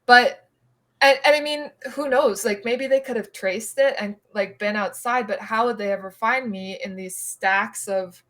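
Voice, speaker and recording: A woman's voice: on the high side, measured pace, fairly bright tone. A woman, telling a story on a podcast, filling her talk with "like". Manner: chatty, lots of "like" fillers. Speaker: podcast co-host. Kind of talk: casual storytelling chat.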